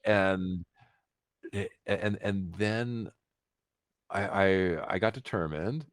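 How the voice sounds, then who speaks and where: An American man telling his story casually as an interview guest, halting and repeating words with emphatic asides. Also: low voice, no room sound, clean studio sound. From United States, Philadelphia. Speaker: interview guest, concert pianist.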